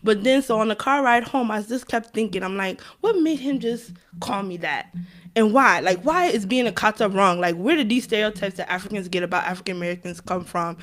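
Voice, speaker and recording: Woman, podcast guest, mid pitch, informal conversational storytelling, casual interview. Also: a little fast, a bit bright. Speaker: podcast guest, fellow podcast host.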